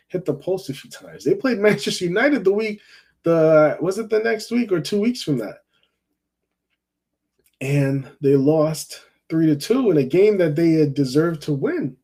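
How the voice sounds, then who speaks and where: A man's voice: on the high side, dark tone. A man from Jamaica hosting a fan call-in football show, talking in a chatty, rambling way and often repeating himself. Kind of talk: casual football chat.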